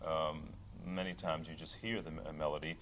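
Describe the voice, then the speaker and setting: Middle-aged guy with a really low voice, thinking out loud, lots of ums, faint hiss behind. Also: level tone, normal speed. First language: English. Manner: thoughtful, with many restarts and fillers. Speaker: opera singer, interview guest. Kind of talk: casual interview talk.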